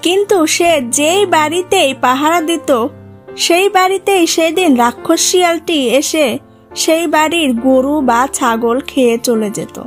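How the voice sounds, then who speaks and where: A woman narrates a cartoon fairy tale from a script. Her voice is high and the delivery very slow, over background music.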